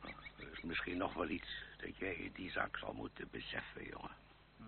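Fond gruff old uncle, low gravelly voice, halting, radio play on a tinny noisy tape. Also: male voice, sing-song, exaggerated voice. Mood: musing, exasperated. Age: older. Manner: halting, grunting, gently scolding.

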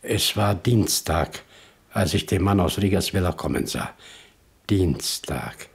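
An actor playing a frightened shopkeeper in his sixties in a German radio crime drama, hesitant, halting and apologetic. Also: sits low, lively intonation, creaky, husky, exaggerated voice. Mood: nervous, evasive. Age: 60s. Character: frightened 62-year-old immigrant male shopkeeper, evasive.

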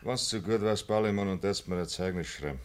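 A man plays a young farmhand in a Bavarian dialect radio play. The voice is deep, and the delivery is plain-spoken, that of a blunt lad with his pride. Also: fairly quick, a little hiss or hum, natural voice. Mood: subdued, respectful. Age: young adult.

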